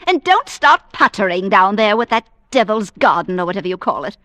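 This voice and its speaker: An actress playing a bossy, nagging middle-aged wife in a radio drama, brisk, scornful and imperious. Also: female voice, medium pitch, sing-song, a bit bright, no echo. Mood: nagging, dismissive. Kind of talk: old-time radio drama acting.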